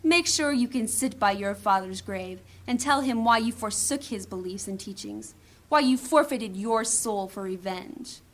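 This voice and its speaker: A woman's voice: mid-range voice, expressive, unhurried, close to an everyday voice. An actress playing a hard, commanding woman thief in a fantasy audio drama, sardonic and menacing. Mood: grim, goading.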